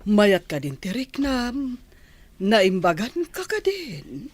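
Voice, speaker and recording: A woman playing a concerned, gently scolding mother in a radio drama. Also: mid-range voice, huge pitch swings, slow, full character voice.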